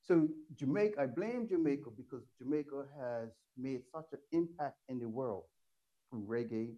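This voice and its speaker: Caribbean host dude, warm mellow voice, rambly friendly questions, muffled lo-fi audio. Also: male voice, medium pitch, moderate pace. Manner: chatty, rambling questions, laughs along. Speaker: interview host. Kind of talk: casual interview chat.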